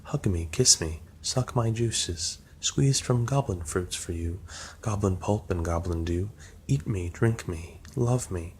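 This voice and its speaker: A man reading the lines of a brave, devoted young woman in a storybook poem, softly and gently. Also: low voice, slow pace, a little hiss or hum. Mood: pleading. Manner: softly read quoted speech.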